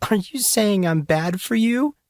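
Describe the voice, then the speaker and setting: A man's voice: sits high, animated, measured pace, darkish tone, no room sound, clean studio sound, pitched above the performer's own voice. A man voicing a tearful, fragile but wry girl in an audiobook story, plaintive and hesitant. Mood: wounded, teasing.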